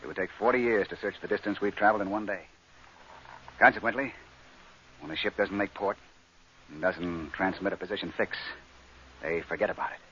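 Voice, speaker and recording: A forceful, sharp-voiced spaceship captain. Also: male voice, sits low, fairly quick, pauses often. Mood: grim, businesslike. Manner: clipped, authoritative. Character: hard-pressed, commanding male spaceship captain, curt and gruff. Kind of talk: old-time radio science fiction acting. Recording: dull old radio recording, faint noise.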